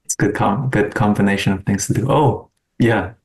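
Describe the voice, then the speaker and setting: A man hosting a relaxed writers' panel, with a somewhat low, gravelly voice. He talks in a friendly, halting way, full of fillers and short laughs. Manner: friendly, hesitant, laughs often. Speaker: panel moderator. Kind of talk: casual online panel chat.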